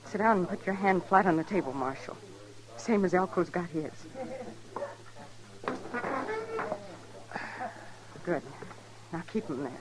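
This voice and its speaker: An actress playing a vengeful Old West card dealer in a radio drama, hard and defiant. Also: female voice, fairly low pitch, close to an everyday voice, poor audio. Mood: cold, threatening. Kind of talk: old-time radio western acting.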